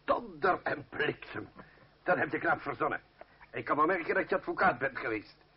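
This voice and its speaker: An actor playing a blustering, hot-tempered revolutionary orator who curses and speaks bluntly in a Dutch radio play. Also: male voice, on the high side, expressive, normal speed, a little hiss or hum, natural voice. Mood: hearty. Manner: bellowing, cursing, blunt. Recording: muffled old radio play recording.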